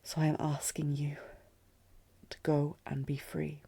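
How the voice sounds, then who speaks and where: Woman acting a regal, tormented queen, low-lit mellow tone. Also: monotone, unhurried, breathy edge, no echo, full character voice. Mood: tender, resigned. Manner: regal, restrained, intense. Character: commanding, tormented queen in love with her general. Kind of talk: fantasy audio roleplay, dramatic acting.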